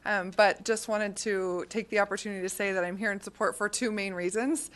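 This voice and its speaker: Fast-talking woman testifying for a school district. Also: medium pitch, steady tone, fairly bright tone. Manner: friendly, with fillers. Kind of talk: formal public testimony. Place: legislative committee hearing room.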